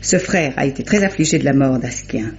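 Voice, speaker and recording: A woman's voice: very deep voice, expressive, fairly quick, a little mellow. An actress playing a shrewd, self-assured lady investigator, speaking knowingly and articulately in old-fashioned radio drama style. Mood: confident, explanatory. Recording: dull, faintly noisy old radio recording.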